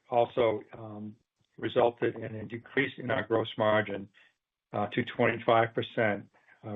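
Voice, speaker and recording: A man presenting company financial figures on an earnings call in a halting, stumbling way, as a finance executive. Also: fairly low pitch. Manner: halting, stumbling over phrases. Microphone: phone or conference line. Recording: tinny phone-line conference call.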